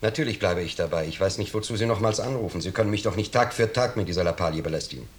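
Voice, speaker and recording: An actor as a pompous, deep-voiced bourgeois gentleman. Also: racing, close to an everyday voice. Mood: irritated, haughty. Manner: fussily polite, hedging, self-righteous. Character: pompous middle-aged bourgeois businessman, flustered, falsely genial host. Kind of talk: 1950s German radio drama acting. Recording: noisy, clicking 1950s radio recording.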